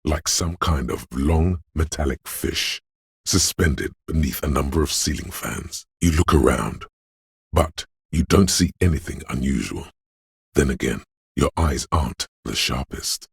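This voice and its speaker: A deep, husky inner voice of the senses. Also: male voice, lively intonation, no room sound, studio quality, heavily acted. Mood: observant. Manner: measured, deliberate, descriptive. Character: male inner voice of the senses, calm and observant. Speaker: voice actor. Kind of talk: scripted game narration. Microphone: studio mic.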